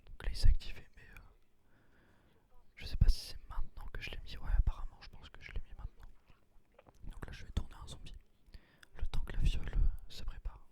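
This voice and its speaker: A man quietly explains his gameplay in a casual, breathy ASMR whisper. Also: a little fast, pauses often. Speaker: gaming video creator.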